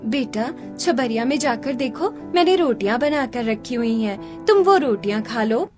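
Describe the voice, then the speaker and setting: A woman's voice: on the high side, animated, moderate pace, music in the background, natural voice. A woman voicing a poor, devoted young village wife and mother in a Hindi cartoon story, speaking in an earnest, pleading way. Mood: gentle, soothing. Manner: earnest, pleading, gentle with family.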